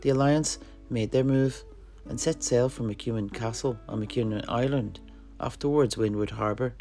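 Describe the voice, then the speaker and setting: A man playing an alchemist storyteller, recounting fantasy legends of his homeland in a theatrical way with dramatic pauses. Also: mid-range voice, dark tone, faint music behind, natural voice. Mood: dramatic storytelling. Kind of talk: in-character fantasy tale narration.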